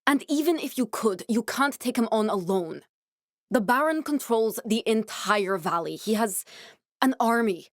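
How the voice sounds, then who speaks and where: An actress playing an earnest, guarded woman scientist in a video game, speaking in a measured, serious, explanatory way with naturalistic delivery. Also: on the high side, animated, no echo, studio quality. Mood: urgent, cautioning. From born in Norway, raised in Glasgow (character).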